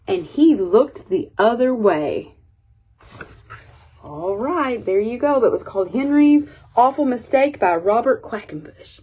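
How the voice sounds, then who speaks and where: A woman reading a children's picture book aloud as an expressive storyteller. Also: a little high, unhurried.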